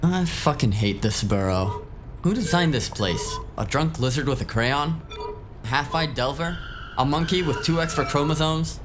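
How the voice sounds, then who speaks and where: A man's voice: on the high side, animated, moderate pace, noisy background, close to an everyday voice. A man playing a grumbling, sarcastic tech whiz in a cyberpunk audio drama, talking in a casual, rambling way. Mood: irritated. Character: grumbling, foul-mouthed, sarcastic male tech whiz driver.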